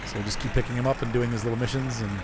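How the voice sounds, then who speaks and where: Man, hoarse, casual streamer chat, chuckles, mid pitch, game noise behind. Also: steady tone, rapid-fire. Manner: rambling, chuckling, answers chat. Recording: noisy game sound behind.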